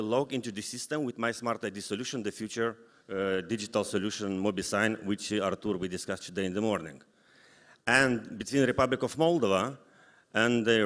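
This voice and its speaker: A man who is a non-native English speaker moderates a live conference panel, rephrasing haltingly as he goes. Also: mid-range voice, fairly bright tone. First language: not English. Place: large indoor conference hall. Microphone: event microphone. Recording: echoey hall sound system.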